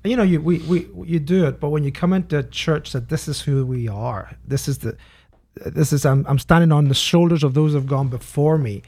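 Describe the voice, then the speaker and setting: A man's voice: on the high side, brisk, darkish tone. A man who grew up in Spain's Canary Islands with Spanish as his first language, chatting as a pastor on a church podcast with ready laughs and restarted sentences. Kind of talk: casual podcast chat.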